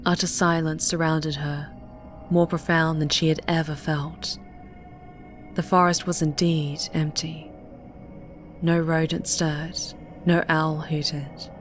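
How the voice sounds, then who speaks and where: Low-voiced woman narrating dark fiction over music. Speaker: audiobook narrator. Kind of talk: scripted dramatic fiction narration.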